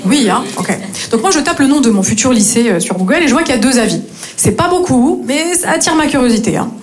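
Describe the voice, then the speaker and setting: Young woman, from north-east France, stand-up comic, chatty, asks the crowd questions, high voice. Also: animated, fast talker. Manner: chatty, keeps asking the crowd questions and adding "hein". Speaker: stand-up comedian, former English teacher. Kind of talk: stand-up comedy set. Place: comedy stage before a live audience.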